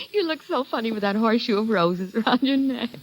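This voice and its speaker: An actress playing a playful, devoted young wife in an expressive 1940s radio drama, with a breathy voice. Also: female voice, on the high side, normal speed, close to an everyday voice, poor audio. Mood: playful, teasing. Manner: expressive, emotive. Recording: vintage radio broadcast.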